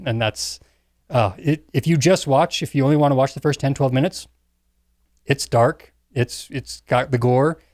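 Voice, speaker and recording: A man co-hosting a casual film discussion, piecing his thoughts together with pauses. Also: mid-range voice, a little mellow, no room sound, studio quality. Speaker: podcast co-host.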